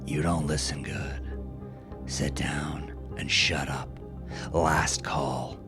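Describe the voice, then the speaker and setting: A man playing a slick, weary young gunman who makes taunting, profane threats in a gravelly voice, in an audio drama. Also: very deep voice, flat delivery, drawn-out words, music in the background, heavily acted. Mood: fed-up, threatening.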